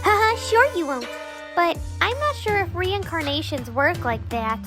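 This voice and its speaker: A performer voicing a fussy, loyal old underworld attendant in an animated drama, chatty, deferential and fretful. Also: very high-pitched, unhurried, heavily acted. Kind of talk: animated-story character acting. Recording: music behind the voice.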